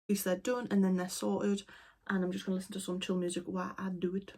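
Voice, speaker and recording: A woman chatting casually as an art vlogger at home, talking in a relaxed, rambling way into her camera. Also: medium pitch, fast. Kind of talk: casual vlog chat. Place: at home indoors. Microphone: camera mic.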